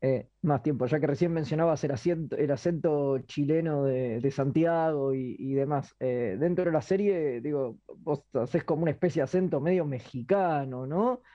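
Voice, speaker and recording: A man's voice: on the high side, normal speed, few pauses, warm tone. A man interviewing a guest, asking his questions hesitantly and repeating words. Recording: dull dry sound.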